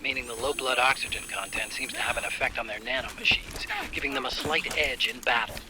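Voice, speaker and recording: A man playing a serious, alert military support officer in a video game, giving a crisp and businesslike mission briefing. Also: mid-range voice, a little fast, noisy background, close to an everyday voice. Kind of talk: dramatic video game cutscene acting.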